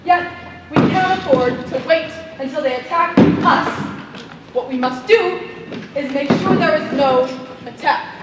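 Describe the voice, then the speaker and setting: Actress playing scheming woman president, pompous, deliberate, stage play, very high-pitched, strongly echoing theater. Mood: smooth, condescending. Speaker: stage actor. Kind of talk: live one-act stage play acting. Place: indoor theater stage, recorded from afar. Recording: echoey theater hall, distant mic, faint noise.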